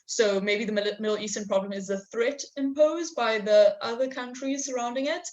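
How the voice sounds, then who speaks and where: A young adult woman moderates an online discussion over a video call. She reads out audience questions hesitantly, filling pauses with ums and restarting her phrases. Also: on the high side, darkish tone, lo-fi sound. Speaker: host and moderator. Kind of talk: webinar interview.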